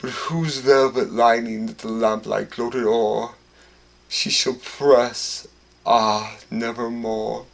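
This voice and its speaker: Guy doing gothic horror poetry super dramatically, slow and measured, recorded in a room. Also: mid-range voice, flat delivery, gravelly. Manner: melodramatic, intense, swinging from hushed to shouted. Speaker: performer reciting a poem. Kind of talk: theatrical dramatic recitation of gothic horror verse. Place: carpeted basement living room with low tiled ceiling.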